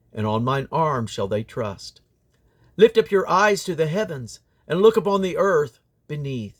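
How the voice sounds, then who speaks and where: A man reading scripture aloud in a solemn, declamatory way, with deliberate phrasing in short units. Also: fairly high pitch. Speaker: Bible reader.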